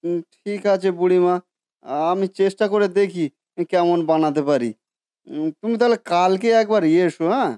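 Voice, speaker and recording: A man's voice: high-pitched, normal speed, long pauses, warm tone, dry recording, natural voice. A man voicing a good-natured, modest food seller who talks earnestly and hesitantly in a cartoon story. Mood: doubtful. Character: humble, good-natured biryani seller and husband. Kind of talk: Bengali cartoon story voice acting.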